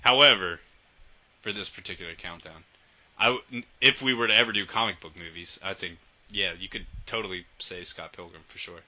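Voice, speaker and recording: A man hosting a casual podcast, enthusiastic and swearing often, trailing off quietly at the ends of sentences. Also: low-pitched, fairly quick. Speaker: podcast host. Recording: dull lo-fi audio.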